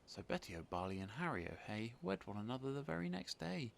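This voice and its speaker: Guy reading a kids book out loud, very expressive, bit gravelly, faint noise. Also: medium pitch, a little fast. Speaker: storybook narrator. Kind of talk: expressive children's read-aloud.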